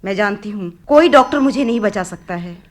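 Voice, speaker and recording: A woman's voice: mid-range voice, lively intonation, brisk, background noise, close to an everyday voice. A woman acting a bitter, stubborn twenty-year-old sick daughter in a radio play, snapping petulantly. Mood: bitter, despairing.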